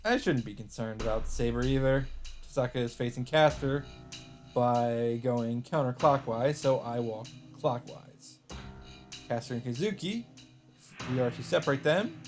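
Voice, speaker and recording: A man's voice: mid-range voice, moderate pace. A man hosting a let's play, reading visual novel narration aloud in a dramatic storytelling manner. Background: game music.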